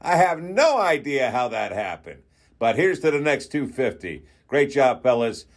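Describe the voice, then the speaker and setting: A man's voice: medium pitch, very animated, a little slow. A man from television delivering a congratulatory message. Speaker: TV personality giving a greeting.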